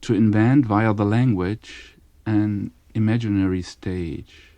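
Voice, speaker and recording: A man with a German accent explaining in an interview, in halting, deliberate English as a second language. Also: fairly low pitch, steady tone, warm tone, no room sound. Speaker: radio drama studio director, interviewee.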